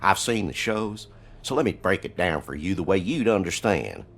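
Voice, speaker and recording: An actor playing an eager, self-important security guard in a video game, talking pompously in mimicked police jargon. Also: male voice, deep voice, lively intonation, normal speed, vocal fry, fairly bright tone, exaggerated voice. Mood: indignant, eager. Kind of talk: comic video game voice acting.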